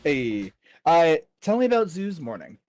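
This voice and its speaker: A man's voice: fairly high pitch, big pitch swings, a little slow, darkish tone. A man narrating a tabletop fantasy game as dungeon master, informal with his players.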